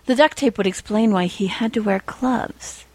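A woman reading fan fiction aloud as an expressive storyteller, with a wry, informal manner. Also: mid-range voice, moderate pace, dry recording.

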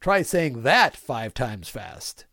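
A man's voice: mid-range voice, sing-song, slow pace, bright, forceful tone, no room sound, studio quality, exaggerated voice. A man voicing a cheerfully villainous, germ-hating small-town mayor, reading his diary in a folksy, prim, clipped way for a comic fan-fiction audio. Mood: matter-of-fact scheming. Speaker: fan audio drama voice actor.

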